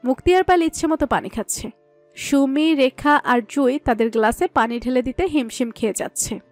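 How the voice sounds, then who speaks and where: A woman's voice: sits high, moderate pace, no room sound, faint music behind. A woman reading a scripted story as its narrator.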